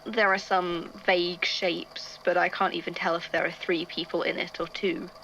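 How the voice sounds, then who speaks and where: A woman's voice: on the low side, level tone, moderate pace, bright, forceful tone, highs cut off, a little hiss or hum. A woman playing an irritable, bookish male archivist in an audio drama, speaking hesitantly into a recorder and thinking aloud. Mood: pensive, dry. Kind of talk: audio drama narration, recorded case notes.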